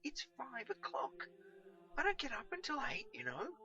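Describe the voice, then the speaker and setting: A man's voice: very high voice, wildly swooping pitch, moderate pace, sharp tone, highs cut off, pitched above the performer's own voice, exaggerated voice. Man voicing an exasperated, cheeky young boy. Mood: irritated. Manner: impatient, colloquial, mildly scornful. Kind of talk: storybook character voice.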